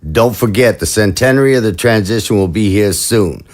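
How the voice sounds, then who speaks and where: A man voices a measured leader in an audio drama. He hears out his advisers and then issues orders with calm authority. His voice sits fairly low. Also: close to an everyday voice. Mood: commanding. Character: measured male leader, commanding and diplomatic. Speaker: head of state giving orders.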